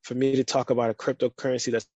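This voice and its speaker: Guy rambling casually w lots of "like"s, flat voice, dry close sound. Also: medium pitch, very fast, slightly rough, dry recording, muffled recording. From United States. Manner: relaxed, rambling, many "like" fillers. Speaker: guest. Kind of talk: casual podcast chat.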